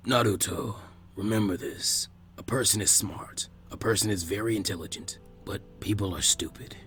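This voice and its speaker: A man voicing a wise, elderly village leader in fan fiction, grave and proud, speaking in measured, solemn phrases. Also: fairly low pitch, a bit bright, pitched below the performer's own voice. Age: older. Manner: measured, solemn, with weighty pauses. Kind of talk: acted dialogue in fan fiction audiobook.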